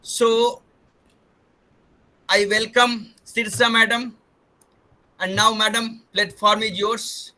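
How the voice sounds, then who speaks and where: Male webinar host, high, sharp voice, earnest, circles back to rephrase, video call audio. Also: flat delivery, takes it slowly, pauses often, poor audio. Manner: earnest, repeats and rephrases himself. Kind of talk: formal online introduction.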